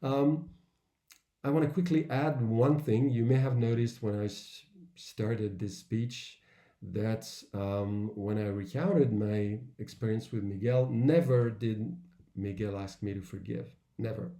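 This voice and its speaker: A man giving an earnest, measured public talk with personal anecdotes, in broken phrases with pauses. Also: mid-range voice, mellow. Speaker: author and workshop speaker. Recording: roomy, echoing sound.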